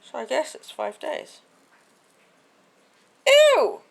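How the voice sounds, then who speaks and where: A woman vlogging to camera from home, with a croaky voice from a sore throat, chatting in a rambling way with many fillers and restarts. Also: high voice, huge pitch swings, drawn-out words. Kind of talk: casual chat to camera.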